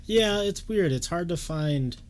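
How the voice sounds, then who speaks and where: A young man co-hosting a casual podcast, easygoing, with his voice jumping up when he gets excited. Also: high-pitched, a little slow, a little hiss or hum. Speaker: podcast co-host. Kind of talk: casual chat.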